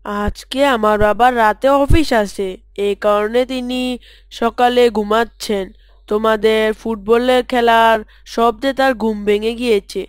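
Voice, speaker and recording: A woman's voice: on the high side, steady tone, a little slow, clean tone, no echo, lightly acted. A woman voicing a polite, dutiful young boy. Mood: earnest. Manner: earnest, gently preaching. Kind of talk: cartoon voice acting.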